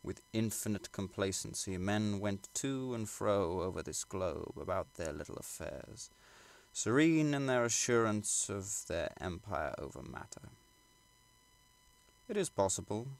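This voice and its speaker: A man reading literary prose aloud as an audiobook narrator, in a measured, formal and dramatic way. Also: fairly low pitch, expressive, creaky. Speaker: audiobook reader.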